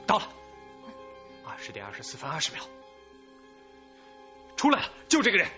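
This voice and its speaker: An eager police investigator in a radio drama, excitable and talking in short bursts. His pitch swings widely, over music in slightly muffled sound. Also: normal speed, heavily acted. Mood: tense, excited. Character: eager male police investigator scanning surveillance footage.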